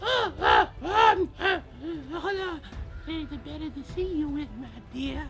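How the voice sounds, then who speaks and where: A man's voice: extremely high pitch, measured pace, music in the background, pitched above the performer's own voice. A man voicing a sly wolf pretending to be a sickly old grandmother, with a quavering, hesitant, wheedling delivery. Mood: flustered.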